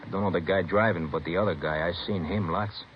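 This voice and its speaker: A man's voice: low voice, fairly even intonation, fast talker, natural voice. A man playing a stubborn, suspicious street hoodlum in a vintage radio crime drama, with slangy, insinuating talk. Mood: suspicious, needling. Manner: slangy, insinuating, uneducated grammar. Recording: muffled vintage radio recording, faint noise.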